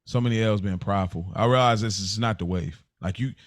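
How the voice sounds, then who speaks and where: A man's voice: on the low side, a little fast, dry recording, studio quality. An American man hosting a podcast, talking casually and motivationally in a blunt, slangy, emphatic way. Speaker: podcast host.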